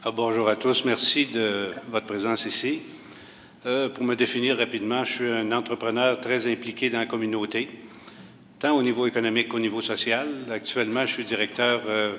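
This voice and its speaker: Bright-voiced hesitant man in a hall. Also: medium pitch, level tone. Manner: measured, hesitant, many filler pauses. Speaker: municipal candidate. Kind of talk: debate opening statement. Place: university hall. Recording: reverberant hall with faint noise.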